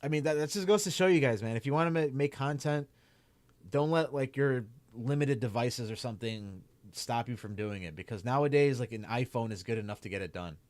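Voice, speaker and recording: A man's voice: medium pitch, a little fast, darkish tone, no echo. Casual Chicago-accented male streamer. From Chicago area. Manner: rambling, lots of "like" and "man", restarts. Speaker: streamer and YouTuber. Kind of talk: casual livestream Q&A while gaming.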